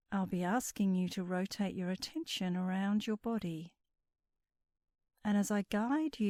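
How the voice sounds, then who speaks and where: A woman leading a guided sleep meditation in a soothing, unhurried manner, with breathy endings to her phrases. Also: medium pitch, dark tone, dry recording.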